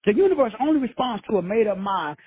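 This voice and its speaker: A man's voice: high-pitched, lively intonation, quick pace, breathy edge, no room sound. A man from Texas hosting a call-in show, preaching profane motivation with swagger and slang. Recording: tinny phone line, dry.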